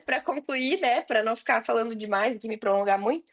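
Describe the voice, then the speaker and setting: A woman's voice: a little high, fast talker. A woman with a Brazilian Portuguese accent, a podcast guest giving casual advice in an informal, anecdotal way.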